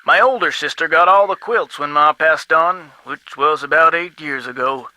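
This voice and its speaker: A man's voice: on the high side, moderate pace, bright, forceful tone, dry recording, rough recording. An actor playing a folksy, long-winded man with a Southern US accent, rambling in a game. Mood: folksy reminiscing. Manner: garrulous, drawling folksy storytelling. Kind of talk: game voice acting.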